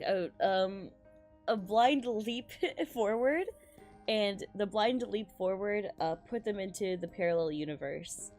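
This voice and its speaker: A woman streaming a game with casual, excited commentary, slangy and sweary in an expressive way. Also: medium pitch.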